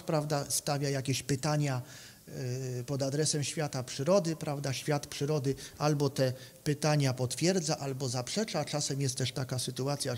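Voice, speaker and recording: A man delivers a partly improvised conference lecture. His voice sits somewhat high and he moves haltingly, repeating words and checking in with short questioning tags. The lectern microphone carries the ring of the hall. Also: a little mellow. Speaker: academic philosophy lecturer. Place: conference room, indoors. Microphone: microphone on a stand at a lectern. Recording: reverberant hall sound.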